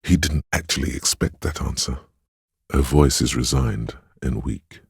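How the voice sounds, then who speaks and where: Very deep husky inner voice. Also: male voice, fairly even intonation, a little slow, no echo, clean studio sound, full character voice. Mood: wry, observant. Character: inner voice of empathy in a troubled detective's mind. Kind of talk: scripted second-person game narration.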